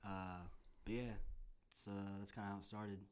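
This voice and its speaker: A man's voice: lowish voice, fairly even intonation, normal speed, smooth. A young man from Tennessee co-hosting a casual podcast, rambling and laid-back, laughing often. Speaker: podcast co-host. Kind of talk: casual chat. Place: apartment in the Bronx. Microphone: handheld recorder mics.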